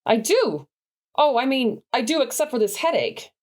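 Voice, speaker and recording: High-pitched, animated teenage girl. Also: moderate pace. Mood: flustered, defensive. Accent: American. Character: breezy, evasive American teenage daughter, secretly sentimental. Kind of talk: 1950s American radio sitcom acting.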